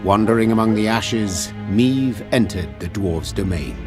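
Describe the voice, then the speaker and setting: A low-voiced storyteller narrates a war saga for a fantasy game. He is measured and solemn, with music underneath. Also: lively intonation. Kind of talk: scripted dramatic storybook narration.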